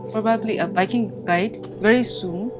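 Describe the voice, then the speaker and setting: A woman's voice: mid-range voice, moderate pace, over loud music. A woman in her thirties with a Bhutanese accent, giving a personal account in a documentary interview. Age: 30s. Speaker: tourism worker, novice rider.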